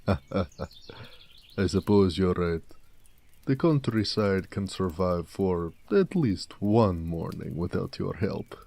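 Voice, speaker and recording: Man voicing a slow, mellow orc blacksmith. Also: deep voice, expressive, gravelly, exaggerated voice. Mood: fond, teasing. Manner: tender, teasing, unhurried. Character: gentle, affectionate male orc blacksmith, homebody. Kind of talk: romantic audio roleplay acting.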